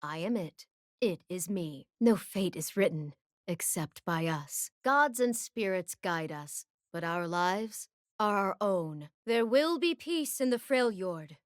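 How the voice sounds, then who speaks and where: A woman's voice: medium pitch, animated, slow pace, dry recording. A young warrior queen of an icy northern tribe for a video game. She is proud and resolute, declaiming in solemn lines with a mid-range voice, recorded very dry.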